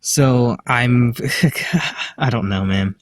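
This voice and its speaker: A man with a slight lisp, talking to the camera in a halting, hesitant way, full of fillers and restarts. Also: medium pitch, no echo, clean studio sound. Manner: quiet, self-deprecating. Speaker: vlogger. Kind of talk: casual self-recorded monologue.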